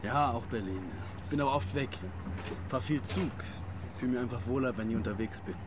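A man's voice: mid-range voice, a little fast, lightly acted. Actor as chatty male traveller amid vehicle noise. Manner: chatty, casual. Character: chatty, opinionated male train traveller from Berlin. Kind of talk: naturalistic radio drama dialogue. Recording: clear vehicle ambience noise.

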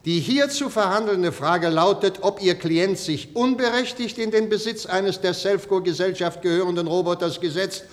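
An actor playing a stern, formal judge in a radio drama, speaking in an admonishing, official way. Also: male voice, sits high, moderate pace, few pauses, lightly acted. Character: stern, formal male judge presiding over trial. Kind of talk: radio drama courtroom dialogue. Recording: reverberant courtroom-like hall.

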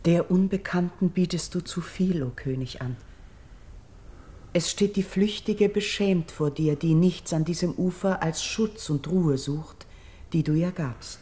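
Actress as a low, mellow-voiced noble priestess. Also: female voice, natural voice. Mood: humble, guarded. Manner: formal, measured, reverent declamation. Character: noble, reserved exiled priestess, humble and guarded. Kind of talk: classical verse radio drama.

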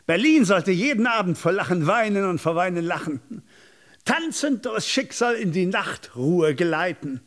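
An actor playing a high-strung Berlin radio reporter in a German radio drama, declamatory and effusive, sometimes halting. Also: male voice, lively intonation, a bit bright, dry recording, studio quality. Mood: rhapsodic. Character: high-strung, florid Berlin radio reporter, self-doubting, trapped in studio.

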